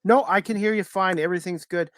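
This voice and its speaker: A man with a fairly high voice hosts a casual interview over a video call, in a dry sound with little room on it. He feels his way through unscripted questions hesitantly and reassures his guest. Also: quick pace, fairly bright tone, no echo. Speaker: talk show host.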